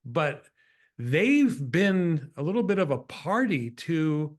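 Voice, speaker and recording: Slightly high-voiced man hosting a talk show. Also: big pitch swings, takes it slowly. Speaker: show host. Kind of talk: conversational political interview.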